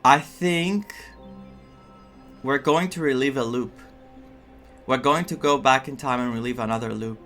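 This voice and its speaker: A man giving casual, reflective playthrough commentary as a streamer, haltingly and repeating his phrases. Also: medium pitch, fairly bright tone. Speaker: gaming streamer. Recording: game music behind the voice.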